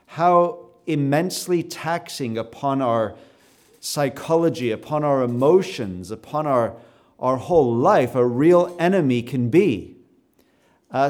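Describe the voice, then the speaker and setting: Canadian male preacher, mid-pitched, chatty delivery with fillers, rising to firm emphasis. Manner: conversational, with frequent fillers and repeated words; builds to emphatic, louder stresses. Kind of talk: sermon to a live audience.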